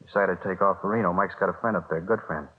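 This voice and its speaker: Fast, defensive streetwise crook, old radio. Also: male voice, sits low, husky. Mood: guarded, evasive. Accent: American. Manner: clipped, slangy tough talk. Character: defensive, streetwise petty criminal under police interrogation. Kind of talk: 1950s radio drama acting. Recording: muffled vintage radio recording.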